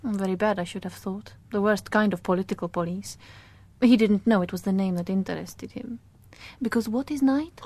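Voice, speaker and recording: An actress playing a detective's calm, teasing wife in a radio drama, unhurried and thoughtful. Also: female voice, medium pitch, close to an everyday voice. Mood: reflective, wry.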